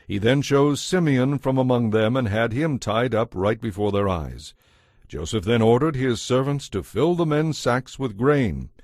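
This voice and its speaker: A man's voice: mid-range voice, a little mellow, dry recording, clean studio sound. A man reading scripted narration as the narrator of a dramatized audio Bible.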